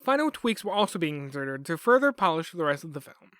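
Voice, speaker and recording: A man's voice: a little high, sing-song, fast, a bit bright, no room sound, clean studio sound. A man reading scripted documentary narration as a podcast host.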